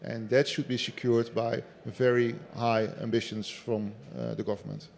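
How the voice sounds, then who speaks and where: A man on a seminar panel in a large indoor hall speaks for the construction industry. His voice sits at an average pitch, and he argues his points in a measured, emphatic way. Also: level tone, a little mellow. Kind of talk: panel discussion.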